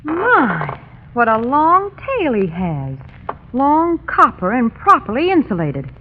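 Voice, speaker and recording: High-voiced witty lady companion. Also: huge pitch swings, slow pace, noisy background, exaggerated voice. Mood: curious, wry. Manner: arch, wry, genteel. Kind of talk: 1930s radio drama acting. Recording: muffled, band-limited old radio recording.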